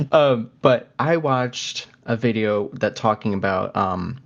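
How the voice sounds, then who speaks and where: A young man with a fairly low voice talks casually as a podcast co-host. He rambles from point to side note. The recording is a little dull and lo-fi. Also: expressive, slow, gravelly. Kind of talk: casual chat about pop culture.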